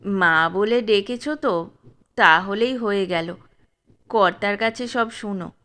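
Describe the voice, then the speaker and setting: A woman voicing a kindly middle-aged village woman giving a quiet warning. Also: mid-range voice, slow pace, pitched below the performer's own voice. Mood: cautioning. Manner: gentle, cautioning.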